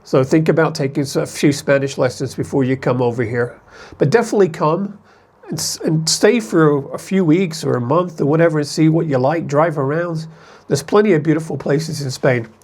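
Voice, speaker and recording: Vlogger type: man, slightly higher voice, loose rambling delivery with frequent restarts. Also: normal speed, few pauses, darkish tone, breathy edge. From United States. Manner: rambling, conversational, self-correcting. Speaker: expat vlogger. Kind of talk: casual talk to camera.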